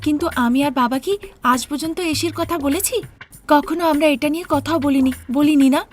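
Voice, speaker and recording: A woman's voice: high voice, a little fast, faint music behind, voice pushed up, natural voice. A woman voicing an earnest, stubborn young daughter-in-law in a cartoon story, pleading yet firm as she argues. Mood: exasperated. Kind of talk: Bengali cartoon story acting.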